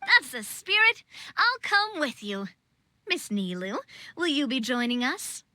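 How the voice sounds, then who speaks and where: A woman's voice: high-pitched, sing-song, unhurried, pushed and bright, dry recording, clean studio sound, heavily acted. A woman voicing a young, confident, playful queen in a video game, with spirited but poised delivery. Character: young female monarch, playful, confident, reassuring.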